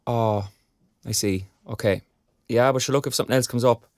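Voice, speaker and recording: A man's voice: medium pitch, normal speed, dry recording, close to an everyday voice. An actor playing a chatty, put-upon, good-natured younger father in an audio drama, speaking colloquially with an Irish accent. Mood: distracted. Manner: colloquial, animated.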